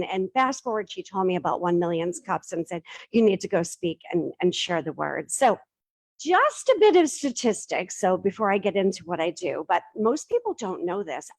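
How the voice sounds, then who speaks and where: A woman's voice: lowish voice, dry recording, poor audio. A middle-aged woman giving a casual, self-deprecating talk to a live audience, tossing questions to the room. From Boston area. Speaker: startup pitch presenter.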